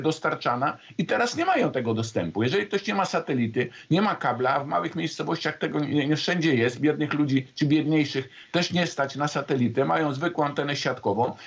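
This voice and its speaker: Guy on a political panel, voice a bit high, argumentative, muffled video call sound. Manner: argumentative, accusatory. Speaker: panel guest. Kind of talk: political debate.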